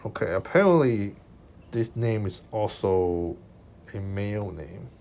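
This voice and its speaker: A man from Hong Kong with a Hong Kong accent, talking through a maths exam solution as a tutor, unhurried and thinking aloud, checking in with his listeners. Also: fairly low pitch, gravelly, mellow, a little hiss or hum. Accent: Hong Kong Cantonese. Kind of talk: explaining a worked exam solution over screen recording. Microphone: voice-over on screen recording.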